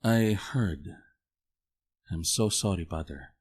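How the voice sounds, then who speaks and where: An actor playing a polite, earnest visiting priest in an audio drama, gentle, hesitant and formal. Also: male voice, low voice, takes it slowly. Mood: gentle, reassuring.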